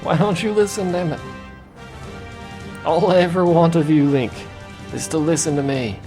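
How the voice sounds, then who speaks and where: Man doing a high-pitched pushy little fairy girl from a game, shrill and naggy, over quiet music. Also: steady tone, normal speed, natural voice. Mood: nagging. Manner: shrill, insistent repeating. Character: nagging tiny female fairy guide.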